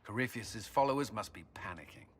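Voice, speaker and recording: An actor playing an earnest, dutiful military commander in a fantasy game, giving firm, formal briefings. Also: male voice, on the low side, animated, fairly quick, husky. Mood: resolute, businesslike. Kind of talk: dramatic fantasy game acting. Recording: reverberant hall, faint background noise.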